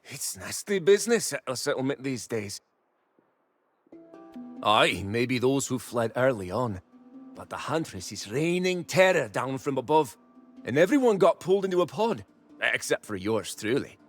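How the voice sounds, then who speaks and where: An actor playing a reckless, folksy survivalist in a video game, boisterous and full of colorful frontier slang. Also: male voice, medium pitch, very animated, moderate pace, faint music behind. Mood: boastful, wry. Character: gruff, reckless, folksy survivalist man holed up in a tavern. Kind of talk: video game character acting.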